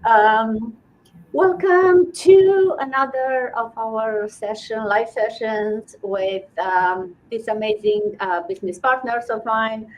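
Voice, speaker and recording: A woman from Romania hosting a casual live stream, talking haltingly with many fillers and restarts. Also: a little high, highs cut off.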